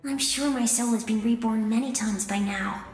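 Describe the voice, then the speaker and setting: A woman voicing an affectionate, cheerful young woman, a devoted little sister in a video game, with tender, expressive delivery. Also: on the high side, normal speed, echoey room, lightly acted. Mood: tender, wistful.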